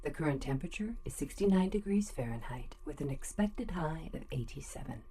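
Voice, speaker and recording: A woman's voice: deep voice, lively intonation, fairly quick, dark tone. A woman playing a polite, literal-minded home computer in a radio drama, speaking formally and without emotion. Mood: serenely formal.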